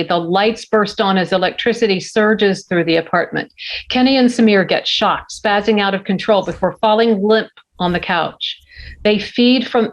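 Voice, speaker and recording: A woman's voice: mid-range voice, poor audio. A woman reading stage directions as narrator at a screenplay table read.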